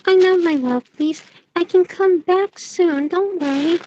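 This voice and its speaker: A woman's voice: extremely high pitch, a little slow, mellow, no echo. A woman voicing a sweet, doting young female student in an amateur audio drama, on a muffled microphone. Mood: soothing, doting.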